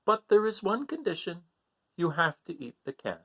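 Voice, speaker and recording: A man's voice: high-pitched, very animated, normal speed, full character voice. A man voicing a caring but firm mother goat in a picture-book read-aloud. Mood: gently firm.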